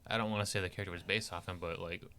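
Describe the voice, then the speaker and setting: A man's voice: deep voice, steady tone, racing, bright, forceful tone, clear, resonant tone. A man chatting casually as a podcast co-host, laid-back and opinionated. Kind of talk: casual chat.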